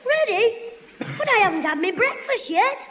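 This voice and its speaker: A cheeky, boastful schoolboy with a very high, piping voice. He fires off quick-witted punchlines in 1960s radio-comedy style. The sound is dull and old, with a long hall echo behind it. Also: sing-song, a little hiss or hum.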